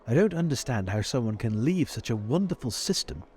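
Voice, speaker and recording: An earnest, patronizing old academic mentor, played by a man in a tabletop game. His pitch swings in a lively way as he halts and repeats himself formally. Faint music plays behind him. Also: fairly quick, close to an everyday voice. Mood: concerned, pleading. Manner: hesitant, repeating words, formal. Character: fussy, earnest older male university professor, patronizing mentor. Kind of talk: improvised tabletop roleplay.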